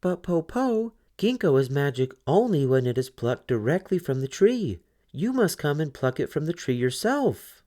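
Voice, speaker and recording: Clever little storybook girl, done by a man, high, lilting, sweetly coaxing, sing-song swoops. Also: sing-song, normal speed, hardly any pauses, mellow. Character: clever eldest young girl, sweetly cunning.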